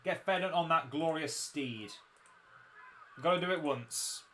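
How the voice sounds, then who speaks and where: A young man with a fairly high, croaky voice streams a strategy game, chatting easily and poking fun at his own memory. He explains unit buffs in a loose, friendly way into a desk mic, with a faint hiss behind him. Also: unhurried, pushed and bright. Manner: chatty, self-mocking, explains game mechanics. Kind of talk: casual livestream commentary.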